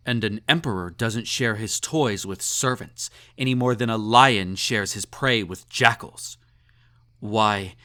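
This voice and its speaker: Slow-talking, snobbish, possessive emperor, acted by a man. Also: lowish voice, bright, forceful tone, heavily acted. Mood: smug, possessive, then menacing possessiveness. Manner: pompous, condescending, teasing, ornate formal phrasing. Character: snobbish, possessive male emperor, indulgent and slightly tipsy. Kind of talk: intimate roleplay audio drama, second-person monologue.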